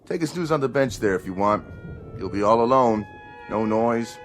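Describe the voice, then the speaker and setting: An actor playing a laconic night-shift bus station attendant in a radio drama, matter-of-fact and unhurried. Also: male voice, mid-range voice, animated, soft background music, close to an everyday voice.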